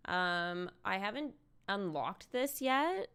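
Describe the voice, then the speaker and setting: Creaky-voiced woman streaming a game. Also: mid-range voice, expressive, takes it slowly, fairly bright tone. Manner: chatty, thinking aloud while playing. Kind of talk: casual live stream gameplay commentary.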